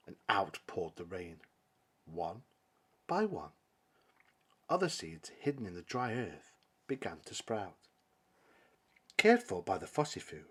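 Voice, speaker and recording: A male storyteller reads a picture book in a dramatic, theatrical style. His slightly husky voice rises and falls widely, and he takes his time, pausing for emphasis between phrases. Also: lowish voice. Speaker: storybook reader. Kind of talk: dramatic children's story narration.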